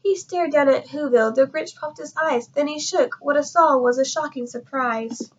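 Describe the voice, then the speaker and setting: A woman reading a rhyming children's story aloud with expressive, dramatic, rhythmic phrasing. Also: sits high, normal speed, muffled recording, slight background noise. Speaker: storybook reader.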